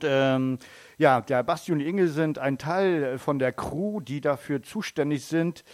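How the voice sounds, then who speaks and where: A man's voice: medium pitch, darkish tone, dry recording. A man hosting a live radio broadcast at an outdoor festival, chatting and joking with guests and using many fillers. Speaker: event radio host. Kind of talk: live on-site interview at a festival.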